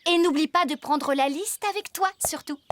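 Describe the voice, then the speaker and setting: Actress as a high-pitched, bossy cartoon farm woman. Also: a little hiss or hum, lightly acted. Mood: teasing, bossy. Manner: brisk, expressive, scolding. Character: bossy, lively young woman farmer, teasing and exasperated. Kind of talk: French children's cartoon dubbing. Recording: background music under the voice.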